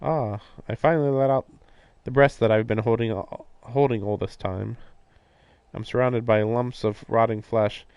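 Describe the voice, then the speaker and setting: A man's voice: mid-range voice, steady tone, normal speed, a little mellow, clean tone, dry recording, highs cut off. A man on a live game stream, reading narration aloud and breaking off for casual, puzzled commentary. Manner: puzzled, questioning asides. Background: game music.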